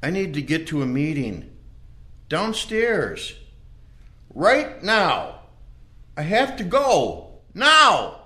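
A man's voice: a little high, wildly swooping pitch, very slow, pauses often, bright, forceful tone, exaggerated voice. A man playing a confused, insistent elderly professor in an audio play, urgent and indignant. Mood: agitated.

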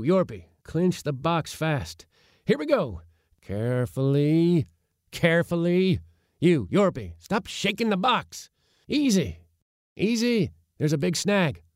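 Man acting elderly blustering schemer, impatient, pompous, exclamatory, raised pitch, storybook character, dry sound. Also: a little slow, gravelly, hardly any pauses, sharp tone, dry recording, exaggerated voice. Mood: excited commands. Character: impatient, bossy, excitable elderly uncle with grand schemes.

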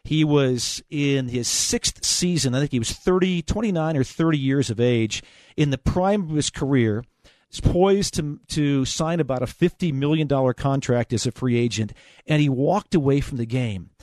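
A man's voice: mid-range voice, normal speed, a little mellow, no room sound. A man with an American accent hosting a conversational sports call-in show on the radio.

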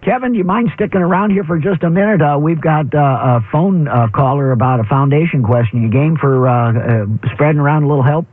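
A man's voice: a little high, brisk, few pauses. An upbeat male radio host chatting through a call-in show. He banters easily and teases his guests. The sound is thin and tinny, like a phone line.

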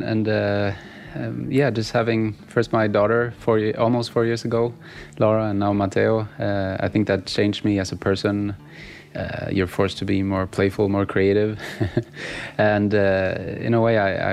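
A Swedish man, a musician guest whose first language is Swedish, answering in a halting casual interview with many fillers and restarts. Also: fairly low pitch, level tone, gravelly, a little mellow, faint music behind. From Sweden.

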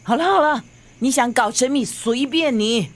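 A woman's voice: high-pitched, moderate pace, lightly acted. A woman playing a scolding, sarcastic, exasperated grandmother in a radio drama, with a Singaporean accent.

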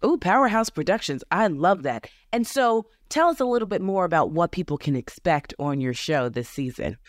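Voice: medium pitch, sing-song, normal speed, no room sound. Chatty host gushing and rambling thru questions, dry close mic. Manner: enthusiastic, rambling, hedging questions. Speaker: podcast host. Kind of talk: interview conversation.

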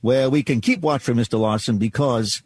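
An actor playing a helpful, scheming department store employee in an old-time radio drama, with folksy, persuasive patter. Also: male voice, mid-range voice, normal speed, darkish tone, breathy edge, dry recording, lightly acted.

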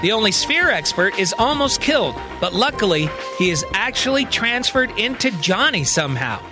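Male narrator for a serial sci-fi audio drama, high register, music underneath. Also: big pitch swings, moderate pace. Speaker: storyteller, narrator.

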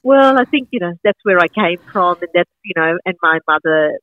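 A woman's voice: fairly low pitch, expressive, normal speed, no echo. Chatty Sri Lankan woman, dull lo-fi. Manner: enthusiastic, rambling with many fillers. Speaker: guest, market founder and farmer. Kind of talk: casual interview chat.

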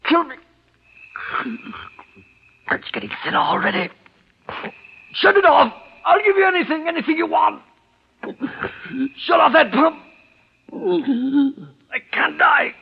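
A woman's voice: high-pitched, lively intonation, takes it slowly, creaky, pauses often, full character voice. An actress playing a frantic trapped woman gasping and crying for air in a 1940s radio horror drama. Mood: frantic, screaming. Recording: muffled vintage 1940s radio recording.

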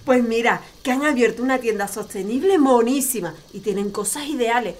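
A woman's voice: fairly high pitch, a little fast, a little mellow, close to an everyday voice. An actress playing a cheerful, practical middle-aged mother in an audio family comedy. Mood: chatty.

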